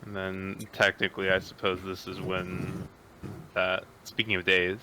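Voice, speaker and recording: A man's voice: low-pitched, monotone, measured pace, bright, forceful tone, a little hiss or hum, poor audio. A man casually commenting and reading aloud in a group story reading.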